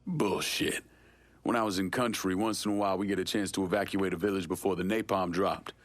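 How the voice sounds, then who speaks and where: A man's voice: sits low, fairly even intonation, brisk, natural voice. A man playing a world-weary, hardened war veteran turned criminal in a video game, with a blunt, laconic manner. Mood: frank, somber. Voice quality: gravelly, husky. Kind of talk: dramatic video game dialogue.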